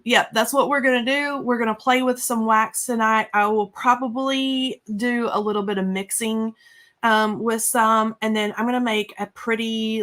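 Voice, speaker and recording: A stuffy-nosed woman in her forties hosts a craft stream from her home craft room. She chats loosely and rambles, restarting her sentences and filling pauses as she works. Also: medium pitch, a bit bright. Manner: chatty and rambling, with many fillers and restarts. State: congested from a cold. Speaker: craft livestream host. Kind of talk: casual craft chat.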